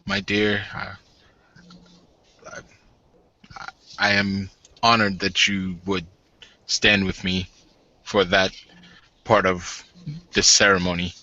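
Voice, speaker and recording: A man's voice: low-pitched, completely flat delivery, slow pace, long pauses, bright, forceful tone, muffled recording, voice pushed down, heavily acted. A man voicing a brutish but courteous werewolf warrior bridegroom in a tabletop game, speaking tersely and formally. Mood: solemn, halting.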